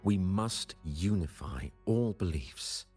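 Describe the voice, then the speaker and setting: An actor playing a solemn, commanding high priest in a video game, speaking in a pompous, measured, formal and reverent way with a gravelly voice. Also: male voice, lively intonation, darkish tone, soft background music, exaggerated voice. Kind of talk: video game voice acting, formal ceremonial speech.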